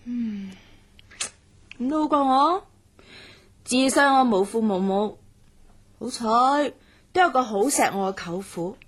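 A woman playing an outspoken, loyal young student in a radio drama, expressive and exclamatory. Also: on the high side, slow pace, pauses often, husky, heavily acted. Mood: chatty, confiding.

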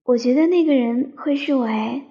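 A woman playing a shy, romantic young woman who tells her love story in a soft, sentimental radio drama style. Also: high-pitched, moderate pace. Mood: shy, hesitant. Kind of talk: Chinese radio drama, sentimental first-person narration. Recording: slightly dull sound under piano music.

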